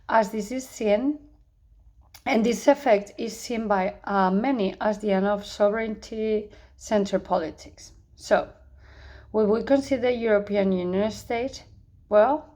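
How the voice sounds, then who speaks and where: A young woman from Spain speaks English with a Spanish accent, reading a prepared course answer. Her voice sits at an ordinary middle pitch, and she keeps an earnest, measured pace, stumbling now and then into a quick restart. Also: darkish tone. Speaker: student.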